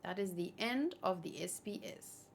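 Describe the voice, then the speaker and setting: A woman doing casual livestream commentary, reading aloud and reacting in a chatty, expressive way. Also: mid-range voice, moderate pace, gravelly, slight background noise. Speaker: manga read-along streamer.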